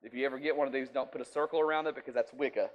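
A man's voice: medium pitch, fairly even intonation, very fast, muffled recording. A man preaching an informal sermon in a folksy, conversational way, checking in with his listeners often. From rural US.

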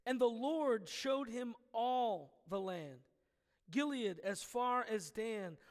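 A man preaching a sermon as pastor, with a naturally scratchy voice that is a little hoarse today, telling stories in a lively way. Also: very high voice, slow, big-room sound. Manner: storytelling, animated, shouting in places. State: slightly hoarse from yelling.

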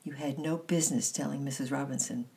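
A woman's voice: rumbling low, normal speed, creaky, a little mellow. A woman reader voicing a strict, cool aunt in a children's storybook, with a stern, authoritative manner. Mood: sharp.

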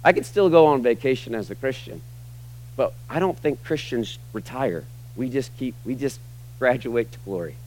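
A middle-aged American man preaching a sermon to a church congregation, emphatic, moving between loud, punchy lines and quiet, low asides. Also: expressive, normal speed, poor audio. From United States. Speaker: pastor. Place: church sanctuary.

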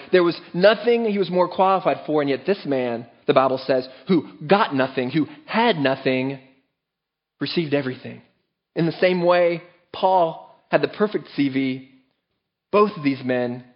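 Earnest preacher man, slightly muffled recording. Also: on the high side. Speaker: pastor. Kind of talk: sermon.

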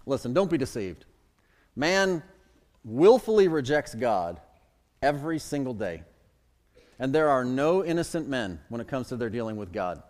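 A middle-aged man preaching from the pulpit, his mid-range voice rising in bursts of emphasis and dropping low again. His words ring out with a long reverb trailing through a large church hall. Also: big pitch swings, moderate pace, pauses often, a little mellow. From Chicago suburbs. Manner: emphatic preaching with sudden swells and drops. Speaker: pastor. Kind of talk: sermon.

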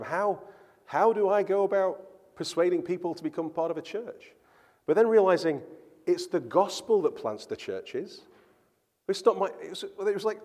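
A man's voice: a little high, a little mellow, breathy edge. A man preaching a sermon as a pastor, earnest and emphatic, often repeating words for stress. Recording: church hall with long reverb.

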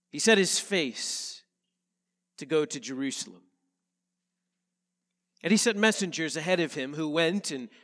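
A male pastor, a little nasal from a head cold, reading scripture and preaching in an earnest, measured way. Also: a little high, fairly bright tone, big-room sound. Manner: earnest, measured preaching with slow emphatic phrases. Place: church sanctuary.

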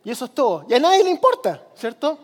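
A man's voice: very high-pitched, huge pitch swings, fast talker. A man from Chile with a Chilean accent, giving a sermon as a preacher in a conversational manner, repeating phrases for emphasis. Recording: long hall reverb.

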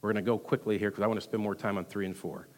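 A man with a Texan accent preaching a sermon as pastor, rushing through some lines and dropping to hushed asides between louder, emphatic ones. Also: on the low side. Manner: animated preaching, swings from loud emphasis to hushed asides, quick delivery. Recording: long hall reverb.

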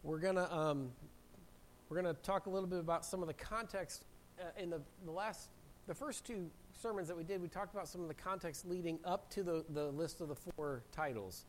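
A man preaching to his congregation, his voice a little higher than most and roughened by a cold. He keeps clearing his throat between steady sermon lines. A faint low rumble sits under the recording in the church room. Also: a little fast. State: hoarse from a cold. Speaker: pastor. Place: church sanctuary. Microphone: handheld mic.

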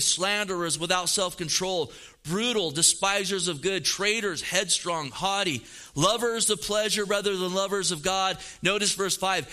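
A man's voice: high-pitched, bright, forceful tone. A pastor in his fifties preaching a sermon, earnest and emphatic, repeating phrases for weight. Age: 50s.

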